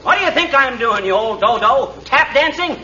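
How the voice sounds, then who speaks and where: An actor playing a blustering, exasperated husband who grumbles and wisecracks in a 1940s radio comedy. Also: male voice, extremely high pitch, animated, normal speed, bright, forceful tone, full character voice. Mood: indignant, pleading. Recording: noisy, dull 1940s radio recording, distant.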